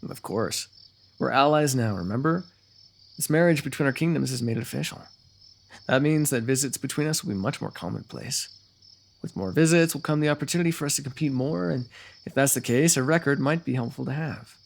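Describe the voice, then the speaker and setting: A man's voice: mid-range voice, fairly quick, vocal fry. A man playing a confident, teasing royal rival turned ally in a fantasy roleplay audio, speaking in formal, wordy, playfully goading phrases. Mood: confident, measured.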